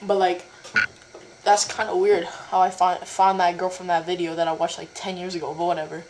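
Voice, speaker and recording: Rambling low-voiced young woman interviewer. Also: level tone, normal speed, a little mellow, slight background noise, poor audio. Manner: rambling, stumbling, with many likes and restarts. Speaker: interviewer, cosplayer. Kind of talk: casual online video chat.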